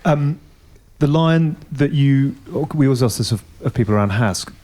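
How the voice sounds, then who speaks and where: A man's voice: mid-range voice, animated, normal speed, mellow. A man hosting a rugby podcast, asking long, rambling questions in casual chat. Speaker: podcast host.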